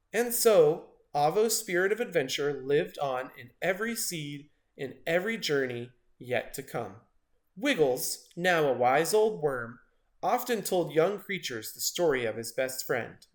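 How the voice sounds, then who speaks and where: Male storybook narrator, fairly high-pitched, highly varied intonation, dramatic children's read-aloud.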